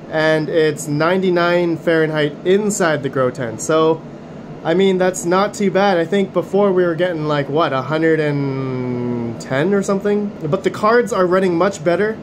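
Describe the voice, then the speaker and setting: A man's voice: a little high, noisy background. Upbeat man with a fading voice. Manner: upbeat, chatty, addresses viewers as guys. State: losing his voice. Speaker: mining channel host. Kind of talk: casual hands-on video commentary. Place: condo room with mining rigs.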